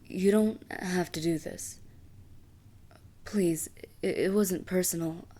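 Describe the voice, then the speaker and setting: A woman's voice: fairly low pitch, takes it slowly, mellow. A woman playing a sly, cocky assassin for hire in a medieval fantasy audio roleplay, with a taunting, self-serving manner. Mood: desperate. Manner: taunting, drawling, smug until pleading.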